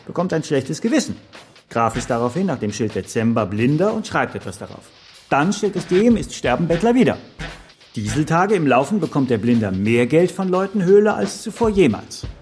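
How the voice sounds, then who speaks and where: An actor playing an earnest pitch trainer who lectures didactically and tells motivational parables with rhetorical questions. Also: male voice, big pitch swings, normal speed, a little mellow, soft background music. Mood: storytelling. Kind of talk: seminar presentation and storytelling.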